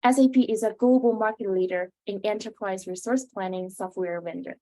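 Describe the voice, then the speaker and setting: A woman's voice: mid-range voice, a little fast, mellow. A young woman speaking as the host of an interview, with a prepared, scripted way of introducing and asking questions. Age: young adult.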